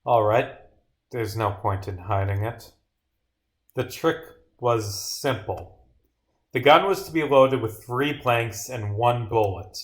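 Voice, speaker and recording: A man playing a guilt-ridden twenty-year-old in a visual novel, halting and formal. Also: medium pitch, natural voice.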